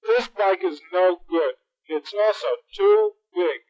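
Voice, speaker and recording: Man playing a whiny young boy, high and tearful, storybook voice, lo-fi recording. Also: unhurried. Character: fussy whiny young boy, upset over a bike. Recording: dull lo-fi recording.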